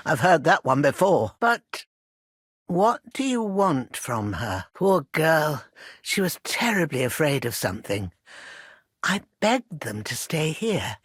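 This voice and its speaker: A woman's voice: low voice, slightly rough, no room sound, clean studio sound, exaggerated voice. An actress playing a worried old village herbwoman in a video game, protective and proud, with a plain-spoken rustic manner. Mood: somber, wary. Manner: rustic, plain-spoken, folksy turns of phrase. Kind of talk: scripted video game character dialogue.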